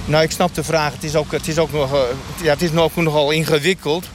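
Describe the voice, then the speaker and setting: A man's voice: on the high side, level tone, natural voice. Earnest satanic dentist, played by a man, street noise. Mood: earnest, halting. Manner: halting, matter-of-fact, earnest. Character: earnest satanic dentist of a sinister sect, male. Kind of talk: mock radio street interview. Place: outdoors in a street. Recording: street noise, passing traffic.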